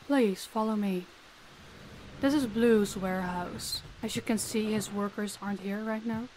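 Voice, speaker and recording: A woman's voice: mid-range voice, steady tone, a little slow, a little mellow, a little hiss or hum, close to an everyday voice, rough recording. A woman playing a demanding, businesslike female crime boss who speaks formally and with authority in a roleplay audio drama. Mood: cool, polite.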